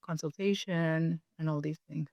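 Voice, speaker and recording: A woman's voice: deep voice, moderate pace, vocal fry, dry recording, lo-fi sound. A young woman from Indonesia in her twenties, a nutritionist chatting casually as a guest and laughing easily. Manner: relaxed, laughs easily, uses lots of "like". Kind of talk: casual interview chat.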